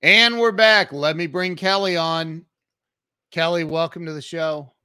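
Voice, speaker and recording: Upbeat host with a crisp, bright voice. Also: male voice, on the high side, steady tone, a little slow, dry recording, clean studio sound. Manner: upbeat, enthusiastic, restarts often. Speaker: podcast host. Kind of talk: casual interview chat.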